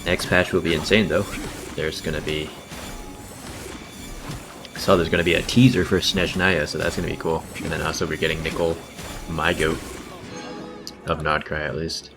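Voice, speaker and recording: A man's voice: rumbling low, flat delivery, normal speed, pauses often. A man chatting casually on a game livestream in a laid-back, slangy way, muttering asides. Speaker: game streamer. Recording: background game music. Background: video game music.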